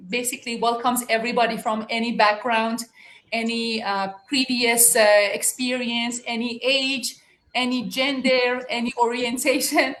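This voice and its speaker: A woman with a Persian accent speaking informally as a guest coach, humble and halting with repeated words. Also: fairly high pitch, a bit bright. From Iran. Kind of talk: informal motivational talk on a livestream. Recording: echoey room on livestream.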